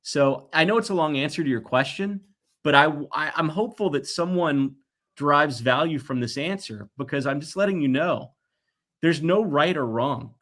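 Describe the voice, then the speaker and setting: A man in his forties giving conversational interview answers in an earnest, reflective way, pausing to stress his points. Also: fairly high pitch, lively intonation, fairly bright tone. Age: 40s. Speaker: guest, toy company executive.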